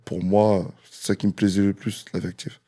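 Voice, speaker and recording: A man from France, a guest DJ in an interview, relaxed and joking, with frequent fillers. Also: fairly low pitch, a little fast.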